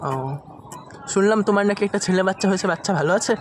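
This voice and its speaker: A man's voice: high-pitched, rapid-fire, noisy background. A man making a prank phone call as a reproachful former lover, insistently questioning.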